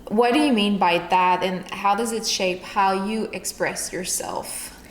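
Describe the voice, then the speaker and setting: A woman's voice: mid-range voice, measured pace. A woman hosting a podcast, interviewing conversationally with reflective follow-up questions. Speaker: podcast host. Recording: echoey room, faint background noise.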